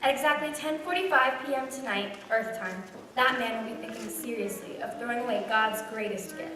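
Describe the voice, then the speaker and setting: A woman playing a brisk, authoritative chief of the angels in an old-time radio play. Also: on the high side, lively intonation, pushed and bright, slight background noise. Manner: brisk, commanding. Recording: very echoey stage from far off.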